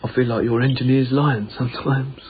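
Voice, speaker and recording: A British actor playing an earnest, emotional young Victorian working man in a radio drama, with halting, formal phrasing. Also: medium pitch, steady tone, natural voice. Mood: earnest, moved. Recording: narrow tinny band, faint background noise.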